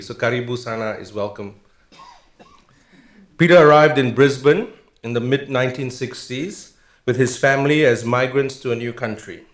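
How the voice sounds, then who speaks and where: The sound is roomy and echoing, as at an indoor public event. A middle-aged man speaks at an average pitch, hosting with a formal introduction that makes space for personal anecdotes. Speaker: host introducing a guest lecturer.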